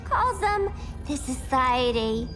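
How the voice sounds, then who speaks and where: A woman's voice: sits high, sing-song, slow pace, exaggerated voice. A woman playing a zany, cheeky young antihero in a video game, brash and slangy. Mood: perky, cheeky. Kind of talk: animated video game acting. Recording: loud music behind.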